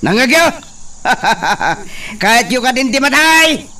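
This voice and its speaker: Man as ruthless young lord, Ilocano accent, high sharp voice, gloating, radio drama, distant from mic. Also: very animated, slow pace, exaggerated voice.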